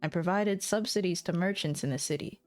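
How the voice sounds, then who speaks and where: A woman voicing a weary, embittered, ambitious lord who narrates the story in a measured noir manner. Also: lowish voice, a little mellow, faint music behind, natural voice. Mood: weary, resolute. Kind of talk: scripted dramatic narration.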